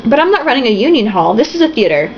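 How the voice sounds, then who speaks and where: A woman's voice: a little high, sing-song, fast talker, dull audio, a little hiss or hum. A woman doing a grand theatrical stage diva. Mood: dramatic. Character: theatrical female stage star, dramatic.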